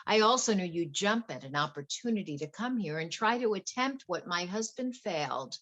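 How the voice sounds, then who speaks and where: A woman's voice: fairly low pitch, fairly bright tone, exaggerated voice. A woman playing a betrayed wife turned coolly vengeful poisoner in a dramatic stage play reading. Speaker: actor in a play workshop.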